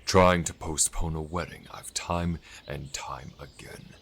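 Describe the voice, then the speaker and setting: Stern older king, acted by a man, extremely low and creaky, imperious scolding, audio drama with noisy birdsong behind. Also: fairly even intonation, a little slow, fairly bright tone, heavily acted. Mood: angry, bitter. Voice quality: gravelly. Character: stern, domineering older king, contemptuous father. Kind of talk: dramatic fantasy audio roleplay acting.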